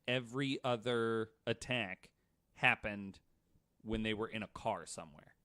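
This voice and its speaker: A man's voice: on the low side, unhurried, pushed and bright. An American man co-hosting a podcast, telling a story from notes with casual banter. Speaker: podcast co-host.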